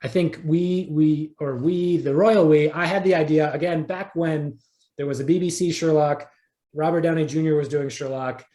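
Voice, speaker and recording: The sound is noticeably roomy, around a man with a fairly high speaking voice. He talks casually as an interview guest, rephrasing himself and working out his thoughts as he speaks. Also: fairly even intonation, moderate pace, warm tone. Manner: conversational, thinking aloud, rephrasing as he goes. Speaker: interviewed writer.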